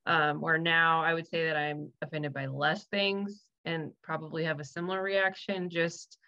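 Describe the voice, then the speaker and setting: A woman's voice: low voice, fairly even intonation, moderate pace, pushed and bright. A woman co-hosting a church podcast, sharing her view in a hesitant, thinking-aloud way. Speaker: podcast co-host. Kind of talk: casual conversation. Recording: dull lo-fi sound.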